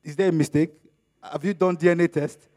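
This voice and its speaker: A middle-aged man whose first language is Yoruba, preaching a sermon in an animated way, acting out little dialogues as he goes. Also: brisk. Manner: animated, acts out dialogues with rising pitch and volume.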